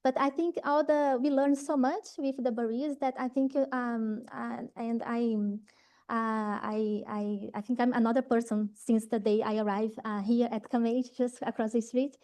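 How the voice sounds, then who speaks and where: A woman's voice: level tone, normal speed, darkish tone. A woman from Brazil with a Brazilian Portuguese accent, speaking English as a second language, gives an informal talk to trainees in a hesitant way with many fillers, restarts and a frequent "right?". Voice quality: low voice.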